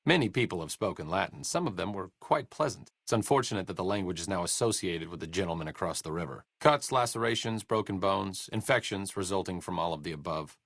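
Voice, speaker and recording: Casting: a wry, educated young game scientist, low voice, lively melody, dry studio sound. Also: male voice, brisk, creaky, few pauses, no room sound, heavily acted. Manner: dry sardonic wit, educated phrasing. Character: wry, learned male scientist companion, dry wit. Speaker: game companion voice lines. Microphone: close studio mic.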